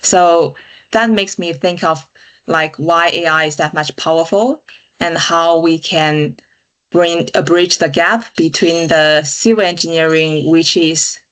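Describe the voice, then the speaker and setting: A woman's voice: low voice, fairly even intonation, a little slow, low-quality recording. A woman from China, a podcast guest speaking thoughtfully and often saying "you know" and "kind of". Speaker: guest, digital engineer. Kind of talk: casual interview chat.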